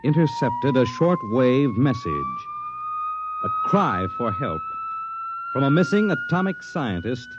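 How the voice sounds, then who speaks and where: A man narrating as a 1950s radio announcer in a dramatic, deliberate manner. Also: sits high, huge pitch swings, very noisy. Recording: dull vintage radio recording with music. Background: music.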